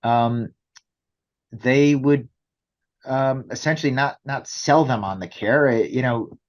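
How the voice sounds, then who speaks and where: A man's voice: mid-range voice, slow pace, lo-fi sound. A man explaining things conversationally as a business interview guest, often checking in with rhetorical questions. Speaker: company executive guest.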